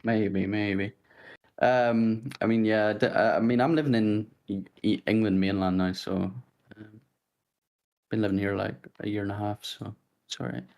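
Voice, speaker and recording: A young man with a soft Northern Irish accent, chatting casually as a podcast guest with a relaxed, rambling way of talking. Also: fairly low pitch, a little slow, creaky. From Northern Ireland. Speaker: guest YouTuber.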